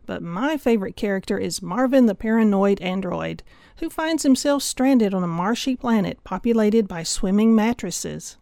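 A woman reading a scripted audiobook review in a measured, enthusiastic way. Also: medium pitch, animated, creaky, warm tone, dry recording, clean studio sound.

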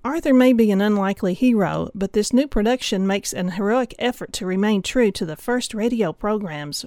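A woman narrating a scripted audiobook review, measured and enthusiastic. Her voice sits in a middle range. The sound is dry and close. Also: medium pitch, darkish tone, no echo.

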